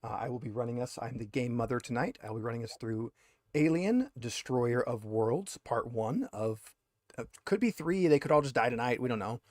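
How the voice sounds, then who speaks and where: A man's voice: mid-range voice, normal speed, a little mellow, no room sound, studio quality. A man speaking casually as game master and host, introducing players on a tabletop game stream.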